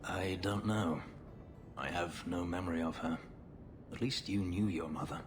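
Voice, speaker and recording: Man as a deep, brooding, hushed companion. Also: moderate pace, noisy background, natural voice. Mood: subdued sympathy. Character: brooding man, awkwardly offering quiet sympathy. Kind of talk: dramatic video game acting.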